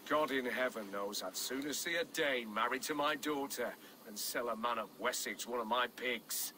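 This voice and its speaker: Casting: male actor for vengeful Saxon lord in a game, hard bright tone, declamatory, faint ambient noise. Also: medium pitch, normal speed, close to an everyday voice. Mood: resolute. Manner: declamatory, forceful. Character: pious, fierce medieval Saxon nobleman, vengeful.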